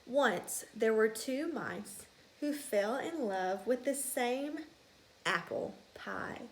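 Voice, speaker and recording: A woman narrating a children's storybook in an expressive, deliberate and emphatic way. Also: a little high, a little hiss or hum, poor audio.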